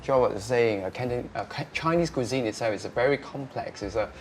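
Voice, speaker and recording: A young man, answering interview questions as a restaurateur, pausing often with filler sounds. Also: mid-range voice, slight background noise. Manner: hesitant, many filler sounds.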